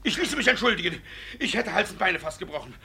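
Blustering, gravelly village judge. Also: male voice, sits high, fast, full character voice. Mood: panicked. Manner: blustering, excitable, barking orders, cursing. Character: blustering, cunning, guilty village judge, gruff and flustered. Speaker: actor in lead role. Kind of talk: classic German stage comedy as radio play.

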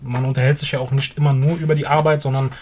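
A man's voice: mid-range voice, little pitch movement, rapid-fire, a little mellow. A man from Frankfurt talks casually and spontaneously, as in an interview. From Frankfurt, Germany. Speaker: tax adviser, interviewee. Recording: office ambience, faint background noise.

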